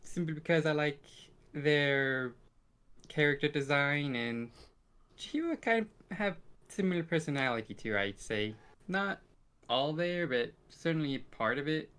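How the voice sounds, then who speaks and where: A man's voice: a little high, lively intonation, measured pace, fairly bright tone, smooth. A man chatting casually as a podcast co-host, giving his opinions in an informal way. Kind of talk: casual chat about favourites.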